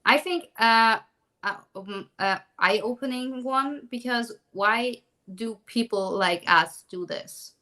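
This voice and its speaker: A woman with a German accent, speaking as a guest on a live online talk, often pausing with ums and restarting her sentences. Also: on the high side, sharp tone. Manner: halting, many ums and restarts. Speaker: guest, documentary maker.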